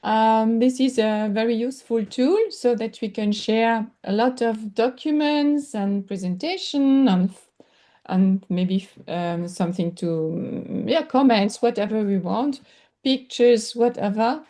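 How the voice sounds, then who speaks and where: A woman with a French accent lecturing in English over a video call, pausing often and searching for words with many fillers. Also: fairly high pitch, warm tone. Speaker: workshop lecturer. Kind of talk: online teaching session.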